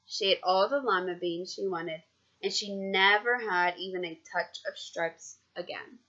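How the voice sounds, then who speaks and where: Woman doing a picture book read-aloud w/ casual teacher notes, bright voice, kinda dull recording. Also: medium pitch. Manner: expressive, informal.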